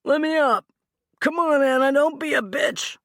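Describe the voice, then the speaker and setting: A woman's voice: high voice, unhurried, fairly bright tone, no room sound, clean studio sound, pitched above the performer's own voice. A woman narrator voicing a cowardly man who whines and yelps while pinned down in the snow. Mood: whining. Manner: whining, pleading, yelping.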